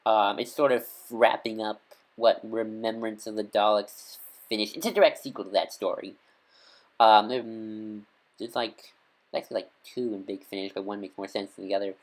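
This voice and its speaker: Rambling fan, full of ums. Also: male voice, fairly low pitch, moderate pace. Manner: rambling, many ums and restarts, enthusiastic fan. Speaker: video creator recommending audio dramas. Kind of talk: casual unscripted talk to camera.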